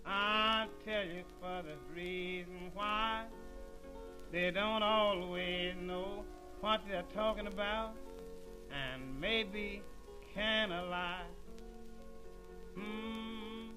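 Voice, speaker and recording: High-voiced man singing very slowly. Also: music in the background, low-quality recording.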